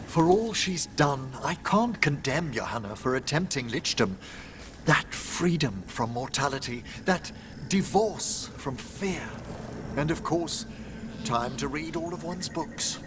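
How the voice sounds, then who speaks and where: An actor playing a gentle, bookish gentleman necromancer in a video game, speaking in a formal, measured, eloquent way. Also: on the high side, expressive, background noise. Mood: reflective. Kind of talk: video game dialogue acting.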